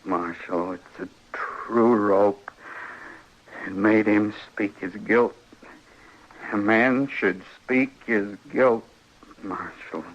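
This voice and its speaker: An actor playing a quiet, peculiar man fixed on justice in a radio western, speaking in a halting, repetitive, solemn way. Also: lowish voice, fairly even intonation. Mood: solemn, fixated. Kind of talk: 1950s radio western acting. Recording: dull old radio recording.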